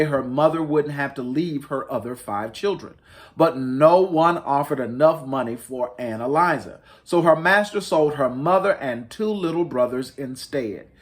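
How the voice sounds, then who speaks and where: Expressive man reading a children's storybook, lively pitch. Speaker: children's storybook reader, host. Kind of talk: expressive picture book read-aloud for families.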